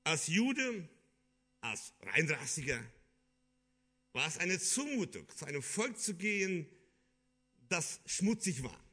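Bright-voiced German preacher man. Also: on the high side, very animated, slow, pauses often, husky. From Kyrgyzstan. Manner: earnest, hesitant with many fillers and restarts. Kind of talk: sermon.

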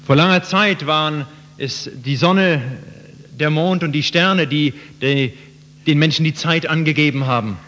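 A man's voice: a little high, unhurried, a bit bright. A man interpreting a sermon phrase by phrase for the listeners. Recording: muffled, reverberant hall.

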